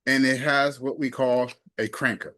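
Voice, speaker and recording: A man's voice: mid-range voice, fairly even intonation, moderate pace, sharp tone, no echo, studio quality. A man reviewing a gadget casually and hands-on, talking himself through each step in a folksy, emphatic way. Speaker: product reviewer.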